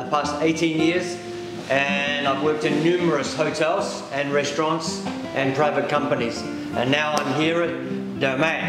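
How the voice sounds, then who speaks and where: A middle-aged man who grew up between England and New Zealand talks through a pastry recipe step by step. His voice sits a little high, and he explains things hesitantly, without hurry. The room is very echoey, and music plays loudly behind him. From England-born, raised in New Zealand. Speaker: pastry chef demonstrating a recipe. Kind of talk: step-by-step cooking demonstration. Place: indoor demonstration kitchen. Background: loud background music.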